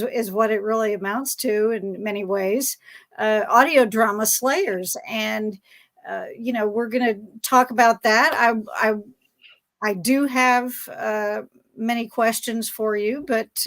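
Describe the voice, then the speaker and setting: High-voiced woman moderating a panel. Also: a bit bright. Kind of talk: moderating a fan panel discussion.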